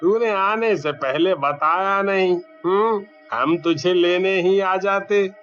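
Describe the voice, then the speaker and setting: A man voicing a gruff village father in a cartoon story, unhurried and measured. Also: high-pitched, fairly bright tone, lo-fi sound, faint music behind. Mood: mildly chiding.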